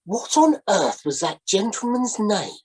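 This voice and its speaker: A woman voicing a prim gentleman who talks in an exasperated way. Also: mid-range voice, big pitch swings, measured pace, husky, voice pushed up.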